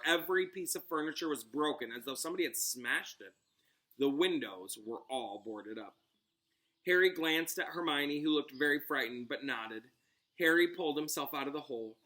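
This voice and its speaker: A man's voice: a little high, pushed and bright. A man reading a storybook aloud as a teacher, with dramatic narration aimed at children. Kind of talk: expressive storybook read-aloud with asides.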